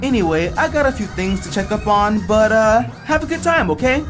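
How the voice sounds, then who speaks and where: A woman's voice: medium pitch, expressive, brisk, lightly acted. A woman acting in an audio drama as an upbeat, patient camp leader, cheery and casual. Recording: music behind the voice.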